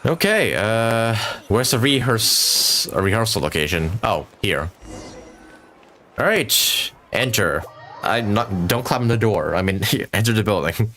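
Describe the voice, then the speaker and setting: A man's voice: fairly low pitch, animated, unhurried, pushed and bright, slight background noise. A man giving casual live gameplay commentary as a streamer, chatty and rambling, with a lot of "like". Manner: chatty, rambling, chuckles often, says like a lot. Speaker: game streamer. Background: game music.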